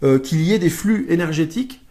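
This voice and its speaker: A man's voice: fairly high pitch, animated, brisk, warm tone. A man co-hosting a live stream as a life coach, chatting casually, joking and searching for his words. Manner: jokey, searching for words, playful put-on slang.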